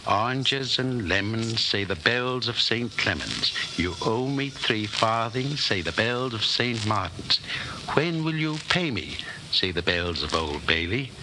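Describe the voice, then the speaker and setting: An actor playing a grave, controlled leader of a secret brotherhood, speaking with measured authority in a 1940s radio drama. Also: male voice, on the low side, lively intonation. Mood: solemn. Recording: noisy 1940s radio recording.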